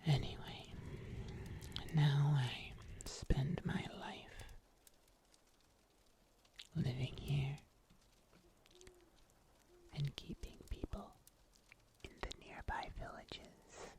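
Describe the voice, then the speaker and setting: A performer voicing a calm, seasoned monster huntsman in a soft, breathy ASMR whisper, telling tales slowly and deliberately. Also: mid-range voice. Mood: calm, storytelling. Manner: slow, deliberate, hushed storytelling with long pauses.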